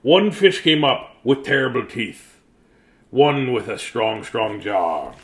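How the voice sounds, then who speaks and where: A man's voice: medium pitch, lively intonation, takes it slowly, a bit bright, slight background noise. A middle-aged man reads a children's bedtime story in a silly, sing-song way, breaking off for jokey asides. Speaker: storyteller and host. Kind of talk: playful bedtime story reading with joking asides.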